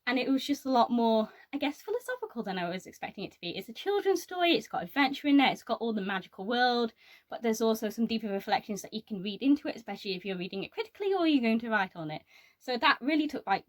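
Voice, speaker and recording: A young woman giving her opinions on books in a casual, explanatory chat to camera. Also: a little high. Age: young adult. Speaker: book reviewer.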